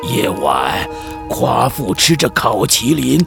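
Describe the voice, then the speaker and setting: A man's voice: mid-range voice, big pitch swings, slow, vocal fry, music in the background, full character voice. A man voicing a theatrical middle-aged village chief, a long-winded, avuncular storyteller in a children's radio drama. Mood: dramatic narrating. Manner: theatrical, mock-solemn, avuncular.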